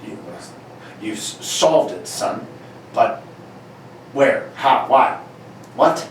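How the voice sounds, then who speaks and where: A man's voice: medium pitch, very slow. A man playing a bossy, blustering police inspector and father, sputtering through an amateur comedic radio play reading. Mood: flabbergasted. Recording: very echoey room, distant mic, faint noise.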